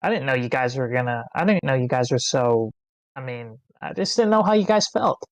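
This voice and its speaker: A man playing a sheepish, apologetic teenage boy in a script read, halting and awkward. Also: medium pitch, expressive, no room sound, close to an everyday voice. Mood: contrite. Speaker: amateur actor reading a part. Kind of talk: screenplay table read, dramatic dialogue.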